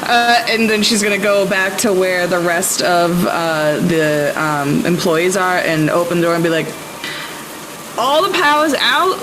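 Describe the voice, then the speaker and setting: A woman's voice: fairly low pitch, steady tone, moderate pace, few pauses, noisy background. A woman playing a tabletop game, casually improvising and describing what her character does. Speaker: tabletop player.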